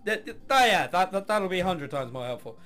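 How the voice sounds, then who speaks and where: Animated, high-voiced man commenting on a game. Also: brisk, faint music behind. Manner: sarcastic, mock-exasperated. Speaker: gamer reading and commenting on a visual novel. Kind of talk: let's play narration with sarcastic asides.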